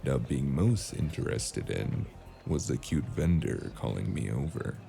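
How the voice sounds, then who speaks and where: A man's voice: rumbling low, lively intonation, measured pace, gravelly, dark tone, heavily acted. A man playing a dry, weary, hesitant investigator in a cyberpunk noir audio drama. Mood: brooding narration. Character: male freelance investigator, dry, weary, awkwardly flirtatious noir loner. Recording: music behind the voice.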